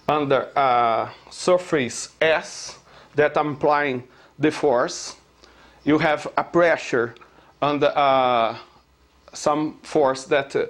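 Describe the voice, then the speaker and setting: A man from Brazil with a Brazilian Portuguese accent, explaining his physics theory in slow, hesitant English with many filler sounds and pauses. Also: fairly high pitch. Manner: earnest, halting non-native phrasing. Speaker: self-taught theorist presenting his theory.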